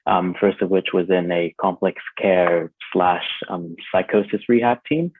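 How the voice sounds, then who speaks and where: A man's voice: low-pitched, unhurried, a little hiss or hum. A young man from Hong Kong, a trainee psychologist, answering interview questions hesitantly with many ums. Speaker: guest, trainee clinical psychologist. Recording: tinny phone-like line, dry.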